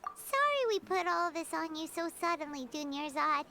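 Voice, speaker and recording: A woman voicing a tiny, excitable childlike fairy companion in a video game, squeaky and earnest. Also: normal speed, a little hiss or hum, exaggerated voice. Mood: apologetic. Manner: exclamatory, earnest. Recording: loud game music behind.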